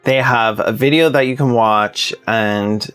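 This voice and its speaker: A man's voice: mid-range voice, measured pace, fairly bright tone, smooth, faint music behind. A man narrating a scripted commentary video in a plainspoken, informal way with sardonic asides. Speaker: video essayist, host.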